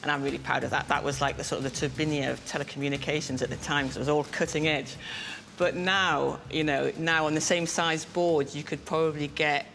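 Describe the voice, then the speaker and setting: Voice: medium pitch, steady tone, a little fast, vocal fry, a bit bright, a little hiss or hum. An engineer in an informal interview, explaining things thoughtfully and encouragingly in plain steps.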